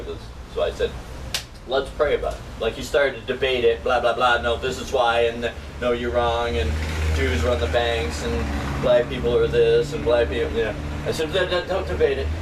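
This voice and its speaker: A man from upstate New York telling a long, rambling personal story in casual chat. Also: mid-range voice. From Norwich, New York. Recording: distant mic with steady background noise.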